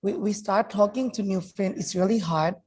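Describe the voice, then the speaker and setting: A woman from Thailand, a native Thai speaker, giving halting remarks as a guest at a webinar, with many restarts and fillers. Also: medium pitch, darkish tone, breathy edge.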